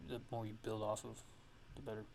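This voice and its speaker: Male producer, lowish gravelly voice, casual ASMR tutorial delivery, halting and thinking aloud, noisy recording. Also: level tone, a little mellow. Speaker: music producer giving a tutorial.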